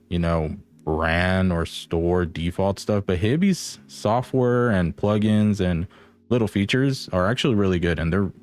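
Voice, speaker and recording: A man's voice: low-pitched, darkish tone. A man giving a casual gadget review, talking straight to viewers in an informal, slangy, playfully teasing way. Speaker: product reviewer.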